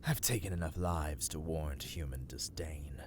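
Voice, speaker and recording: A man playing a brooding, world-weary vampire in a slow, dark ASMR roleplay, theatrical and often slipping into breathy whispers. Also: very deep voice, a little hiss or hum. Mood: grim resignation. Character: brooding, world-weary male vampire, cursed castle master.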